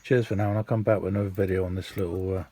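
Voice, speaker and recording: Mellow, low-voiced British man, rambling casually. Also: flat delivery, very fast. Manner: rambling, hesitant, easygoing. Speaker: hobbyist radio demonstrator. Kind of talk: casual, unscripted video demonstration. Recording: clearly noisy amateur home recording.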